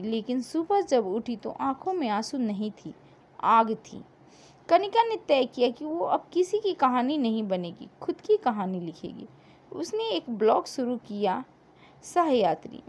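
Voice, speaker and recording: A woman narrating a scripted story in a dramatic, emotive way with deliberate pauses. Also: on the high side, sing-song, darkish tone.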